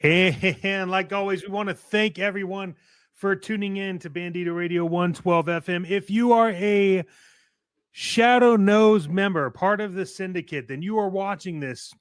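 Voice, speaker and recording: High-pitched male podcast host, playful and chatty. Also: a little slow, clean tone, dry recording, clean studio sound. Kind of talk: casual podcast chat with sponsor reads.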